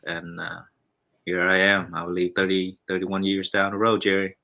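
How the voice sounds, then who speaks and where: A Vietnamese-born man in his forties, a restaurant owner, talking in a halting, polite way with many restarts and repeated "yes, sir". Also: low voice, monotone, vocal fry, bright, forceful tone. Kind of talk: casual interview chat.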